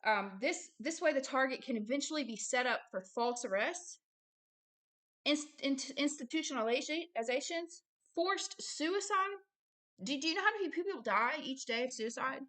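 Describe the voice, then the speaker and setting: A woman's voice: high voice, animated, normal speed, fairly bright tone. A woman hosting religious commentary as she reads aloud and explains, speaking emphatically and repeating phrases for stress. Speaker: host, self-described prophet reading a book aloud with commentary.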